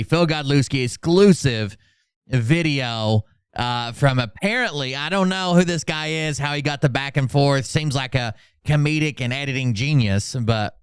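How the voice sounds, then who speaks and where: Male livestream host: mid-pitched, clear voice, casual running commentary, sardonic and sweary. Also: no echo, clean studio sound. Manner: sarcastic, blunt, profane.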